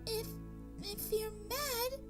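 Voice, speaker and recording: A woman performs a shy pegasus mare in a fan-made cartoon audio drama, with a very high, hushed voice that stammers and trails off. She speaks slowly and gently over soft music in the background. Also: fairly even intonation. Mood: timid, apologetic. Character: timid gentle female pegasus pony.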